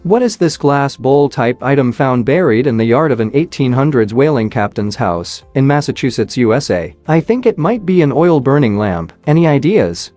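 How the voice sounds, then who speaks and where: A man giving measured, scripted narration, reading questions and answers evenly with no fillers. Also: medium pitch, sing-song, darkish tone, faint music behind.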